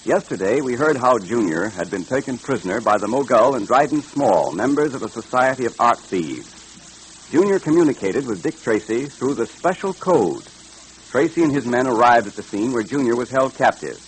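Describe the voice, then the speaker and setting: A man announcing a vintage radio serial in an American accent. His mid-range voice is husky and unpolished in tone. He reads scripted copy with a salesman's emphasis. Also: mid-range voice, animated, moderate pace, low-quality recording. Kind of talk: 1940s radio announcing, scripted sponsor pitch and narration.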